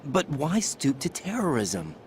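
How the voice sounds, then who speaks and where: An actor playing an earnest special-forces soldier in a video game, speaking tersely and questioningly. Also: male voice, a little high, huge pitch swings, a little slow, a little hiss or hum. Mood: incredulous. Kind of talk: dramatic video game voice acting. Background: music.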